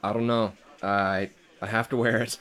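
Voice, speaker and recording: A man's voice: on the low side, level tone, normal speed, a bit bright, natural voice. A man acting a frazzled, defensive young restaurant worker in a scripted read, rambling and stammering with sarcasm. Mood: awkward, deflated. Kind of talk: acted script table read.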